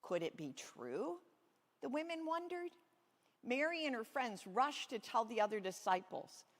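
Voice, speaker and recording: Higher-voiced woman pastor in an echoey hall. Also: big pitch swings, unhurried. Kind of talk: children's sermon, reading a Bible story aloud. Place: large reverberant church space. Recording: echoey hall with long reverb.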